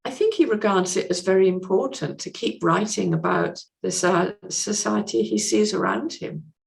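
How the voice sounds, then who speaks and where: A woman speaking as a podcast guest in a measured, considered, plain-spoken conversational way about literature. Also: mid-range voice, creaky.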